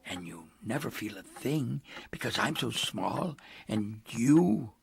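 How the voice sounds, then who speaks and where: Slow, creaky little dream elf. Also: male voice, medium pitch, animated. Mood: gently mysterious. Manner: slow, soft-spoken, deliberate. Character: tiny whimsical male elf, sleep god, gently mysterious storyteller. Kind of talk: children's animated film narration. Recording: film clip with music underneath.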